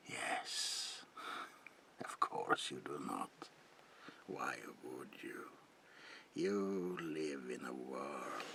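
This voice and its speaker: Creepy whispering old vampire, very deep. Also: male voice, sharp tone, exaggerated voice. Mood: quietly menacing. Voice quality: breathy gravelly whisper. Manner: slow, theatrical, lingering whisper with archaic politeness. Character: creepy old male vampire baron, courteous, eerie, menacing. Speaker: ASMR roleplay performer. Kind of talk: whispered ASMR horror roleplay.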